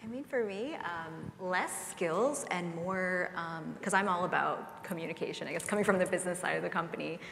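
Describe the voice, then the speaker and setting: A woman's voice: on the low side, moderate pace, vocal fry, hardly any pauses, a bit bright, a little hiss or hum. A woman speaking as a panelist at a live conference discussion, casual and chatty. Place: large conference hall stage. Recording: roomy hall with long reverb.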